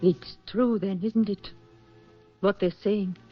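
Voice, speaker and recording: A woman's voice: medium pitch, fairly even intonation, soft background music. An actress playing a devoted, anxious woman in love in a 1940s radio drama, speaking with earnest, tender emotion. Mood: wounded, pleading. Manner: earnest, emotional, measured delivery. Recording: muffled, husky 1940s radio recording.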